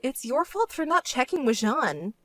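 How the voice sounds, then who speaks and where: A woman's voice: sits high, very animated, moderate pace, a bit bright, no room sound, soft background music, pitched above the performer's own voice, heavily acted. A woman voicing a stern, fed-up sister with a grudge in a dramatized story, cold, firm and scolding. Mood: unsympathetic.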